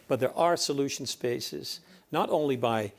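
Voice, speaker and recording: A man's voice: medium pitch, measured pace, gravelly. A man from the Netherlands with a Dutch accent, speaking earnestly as a panelist. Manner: earnest, emphatic repetition. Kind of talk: conference panel discussion.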